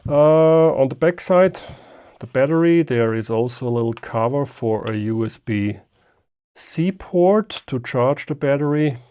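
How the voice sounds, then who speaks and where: A man hosts an unscripted hands-on product demonstration in a relaxed, soft-edged voice of medium pitch. His delivery is slow and halting, as he thinks aloud while he works. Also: clean tone. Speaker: amateur radio video host.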